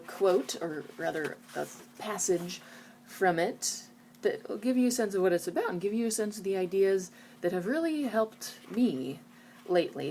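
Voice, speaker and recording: A woman's voice: medium pitch, mellow, a little hiss or hum. A woman talking casually and reflectively in a personal vlog, candid and introspective, often qualifying what she says. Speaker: video blogger.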